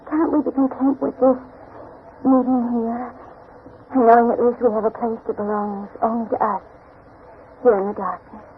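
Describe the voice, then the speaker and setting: A woman's voice: fairly high pitch, flat delivery, normal speed, pauses often, narrow, tinny audio, lightly acted. A thin, faintly noisy vintage radio recording of an actress as a mysterious young woman in love. She is shy and tender, speaking in a wistful, hesitant way typical of 1940s radio drama.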